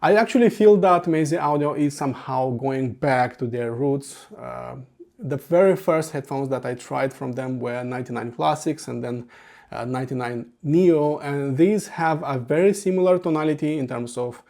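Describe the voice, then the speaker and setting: A man's voice: mid-range voice, normal speed, creaky, darkish tone. A man from Romania with a Romanian accent, a native Romanian speaker, reviewing headphones in an earnest, methodical voice-over with frequent uh fillers. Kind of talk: scripted product review voice-over.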